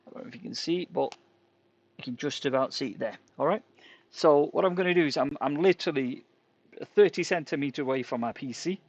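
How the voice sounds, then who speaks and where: A man who runs a repair workshop, talking casually and haltingly while testing an earpiece mic as he walks around his workshop. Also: fairly high pitch, no room sound. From England. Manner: rambling and hesitant, many fillers and "basically". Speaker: repair shop owner reviewing a product. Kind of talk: casual product test vlog. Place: indoor workshop, walking between rooms. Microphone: wireless earpiece, later a wired headset.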